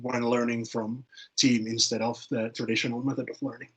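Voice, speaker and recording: A man's voice: mid-range voice, level tone, a little fast, fairly bright tone, slightly rough, poor audio. A man, speaking informally in a webinar discussion and often repeating words as he thinks. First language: Finnish. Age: late 20s.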